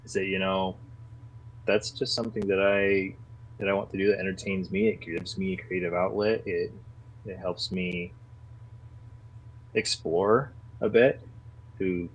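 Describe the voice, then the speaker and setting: A man talking casually as a podcast guest, earnest and getting animated when he defends his views. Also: low-pitched, a little hiss or hum, poor audio. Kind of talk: casual conversation.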